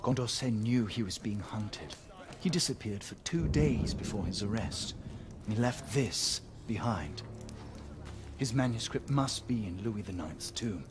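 An actor playing a witty, self-assured aristocratic schemer in a video game, urbane and theatrical. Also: male voice, lowish voice, expressive, unhurried, background noise. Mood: wry, assured. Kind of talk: video game cutscene acting. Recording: music underneath.

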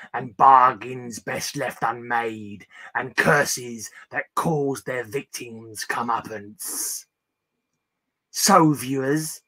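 A man's voice: medium pitch, sharp tone. A man hosting a horror story show, speaking in a teasing, theatrical way. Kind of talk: spooky theatrical host narration.

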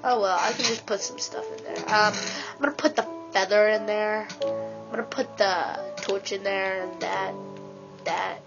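A woman with a stuffy nose gives casual, rambling commentary while she plays a video game, excitedly talking to herself. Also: medium pitch, moderate pace, gravelly. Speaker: let's play gamer. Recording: dull lo-fi with game music.

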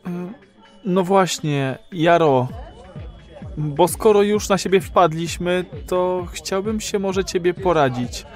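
Casting: timid, evasive everyman for audio drama, high slow voice, music behind him. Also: a little mellow. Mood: embarrassed. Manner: hesitant, evasive, awkward. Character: timid, embarrassed married man seeking a pal's advice.

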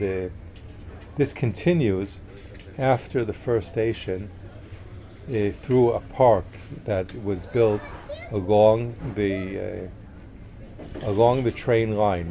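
A man narrating a casual walking tour outdoors as a tour guide, relaxed and full of fillers and restarts. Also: lowish voice, dull audio. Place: outdoor market compound with wooden walkways.

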